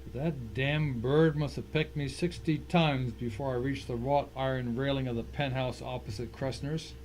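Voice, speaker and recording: A man's voice: mid-range voice, steady tone, moderate pace, darkish tone. A man giving a dramatic first-person reading of a short story as its narrator. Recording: faint noise and ambience behind.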